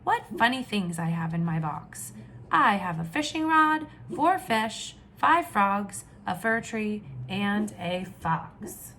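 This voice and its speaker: An eager, excitable young girl from a children's storybook, voiced by a woman reader. Her pitch moves around a lot and her tone is bright, over a faint bed of background noise. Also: slow pace, heavily acted. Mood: eager, pleased. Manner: excited, exclamatory.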